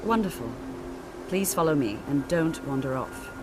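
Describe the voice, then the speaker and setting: A woman's voice: sits low, lively intonation, moderate pace, noisy background. An actress playing a stern, businesslike senior wizard woman in a fantasy video game, formal and authoritative. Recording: loud outdoor ambience behind.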